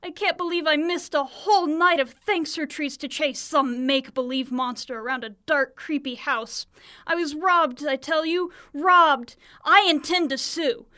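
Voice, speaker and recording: An indignant little sister in a comic radio play. Her voice is high and piercingly bright. She is whiny, demanding and melodramatically self-righteous. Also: a little slow. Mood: outraged.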